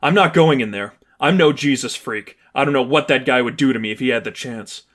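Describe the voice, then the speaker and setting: A man's voice: medium pitch, a little fast, a bit bright, natural voice. A man voicing a wary, blunt young henchwoman who is doubtful and defiant.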